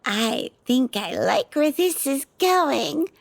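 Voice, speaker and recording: Playful menacing fighter, slow drawl, lively high voice, played by a woman. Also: creaky, no room sound, full character voice. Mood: playful menace. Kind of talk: audio drama acting and narration.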